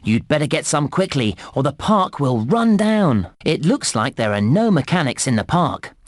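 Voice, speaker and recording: A man playing a friendly theme park guide in a children's game, giving tutorial instructions in an enthusiastic, encouraging and clearly instructive way. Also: a little high, big pitch swings, normal speed, no room sound, clean studio sound. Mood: upbeat, instructive. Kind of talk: scripted video game tutorial narration.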